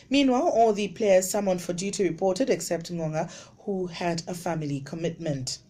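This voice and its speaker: A woman reading radio news in a studio, with a clear, measured broadcast delivery. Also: fairly low pitch, mellow, a little hiss or hum. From Zambia. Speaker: radio newsreader.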